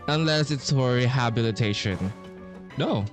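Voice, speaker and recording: A man giving casual, rambling playthrough commentary as a game streamer, halting and slangy. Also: medium pitch. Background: video game music.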